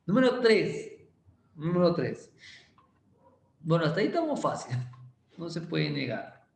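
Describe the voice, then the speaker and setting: A male chemistry teacher, giving a casual, playful class and teasing students as he slowly repeats quiz questions. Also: a little high, sing-song, gravelly. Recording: roomy echo.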